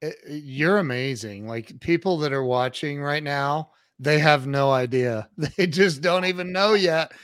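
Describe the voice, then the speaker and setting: A man's voice: a little high, unhurried, hardly any pauses, fairly bright tone, clear, resonant tone, dry recording. Effusive man hosting, dry close voice. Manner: effusive, hyping, repeats himself. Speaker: host. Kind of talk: casual interview.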